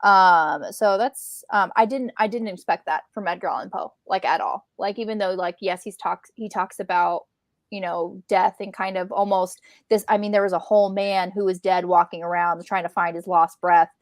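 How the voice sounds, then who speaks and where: A woman co-hosting a casual book discussion, retelling in a chatty, animated way with quoted asides. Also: mid-range voice, normal speed, no room sound. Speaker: book club co-host.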